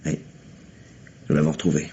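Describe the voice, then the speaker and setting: An actor playing a steady, commanding police lieutenant with a measured delivery in an old-fashioned French radio mystery. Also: male voice, close to an everyday voice. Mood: sober, reporting. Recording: dull, noisy old radio recording.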